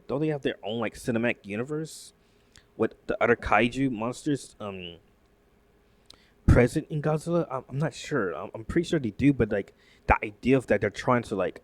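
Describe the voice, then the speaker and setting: A man reacting to news in casual commentary, excitable and rambling, full of fillers and restarts. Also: lowish voice, dry recording. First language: not Japanese. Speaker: YouTube commentator.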